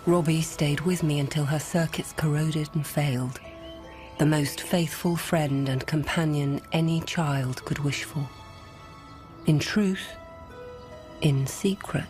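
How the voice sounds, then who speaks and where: A woman lawyer narrating a radio drama. Her voice is low, her storytelling measured, earnest and reflective, with music underneath. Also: darkish tone.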